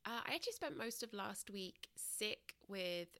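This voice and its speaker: A woman from Britain hosts a casual solo podcast, her voice a little congested and croaky. Her tone is bright and forward with a gravelly edge, set somewhat low. She rambles candidly and corrects herself with plenty of ums, recorded close and dry. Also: lively intonation, moderate pace, dry recording. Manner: chatty and candid, many ums and self-corrections. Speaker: podcast host.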